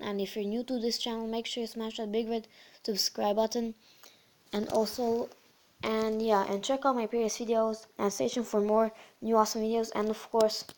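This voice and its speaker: A host casually talking through a phone tutorial over a screen recording, her voice a bit croaky, often repeating words and filling with "so yeah". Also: on the high side, a little mellow. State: slightly croaky voice. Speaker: channel host.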